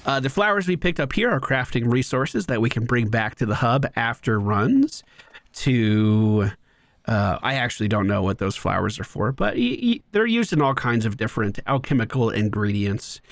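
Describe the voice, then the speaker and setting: A man's voice: mid-range voice, animated, moderate pace, dry recording. A man hosting a gaming channel, giving upbeat, playful live commentary as he plays. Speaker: gaming host.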